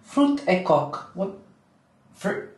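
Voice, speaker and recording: A young woman with a deep voice, chatting to camera at home in a gushing, giggly way. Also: very animated, very slow, a little mellow. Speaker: vlogger. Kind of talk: casual chat to camera. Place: home bathroom at night, then a bright kitchen. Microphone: camera on a low stand. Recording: echoey room, camera a bit far.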